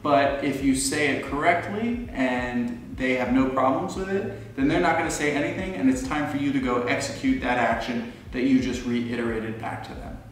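A man's voice: medium pitch, moderate pace, few pauses. Young American man, very echoey room. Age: 20s. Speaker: student pilot presenting a tutorial. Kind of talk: instructional explanation to camera. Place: indoor living room. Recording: roomy, echoey, distant, faint noise.

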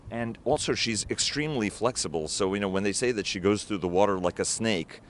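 A man's voice: on the low side, fairly quick. A middle-aged man interviewing outdoors at a waterfront with a handheld mic, asking long, detailed, informed questions. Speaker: interviewer, editor. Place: outdoors on a wooden ship at a city waterfront.

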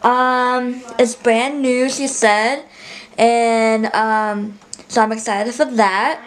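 A woman's voice: a little high, level tone, extremely slow. Chatty young woman vlogging from her bedroom. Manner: rambling, chatty, many fillers and restarts. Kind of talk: casual vlog chat to camera. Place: small bedroom, indoors. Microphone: handheld camera close to the face.

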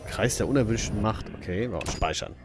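A man's voice: fairly low pitch, a little fast, slight background noise. A man hosting a let's play, giving casual, playful gaming commentary and often muttering to himself. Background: faint game music.

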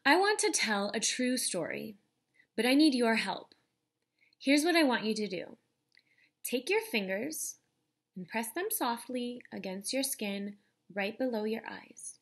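A young adult woman, a teacher, reading a children's picture book aloud in a deliberate, expressive way, speaking directly to young listeners. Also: a little high, breathy edge.

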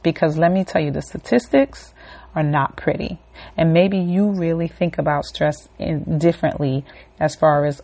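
A woman's voice: low voice, gravelly, mellow. A woman hosting her own podcast, talking casually and ramblingly with many fillers, then leading a short relaxation and affirmation. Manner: rambling, conversational, filler-heavy; leads a relaxation and affirmation segment. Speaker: podcast host. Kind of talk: casual solo podcast talk.

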